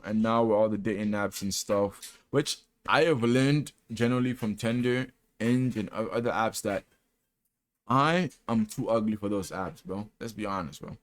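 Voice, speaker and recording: Candid young man in a rambling vlog. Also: lowish voice. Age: 20s. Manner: candid, self-deprecating, rambling with restarts; casual slang, says "bro" often. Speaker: vlogger. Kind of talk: personal reflection monologue.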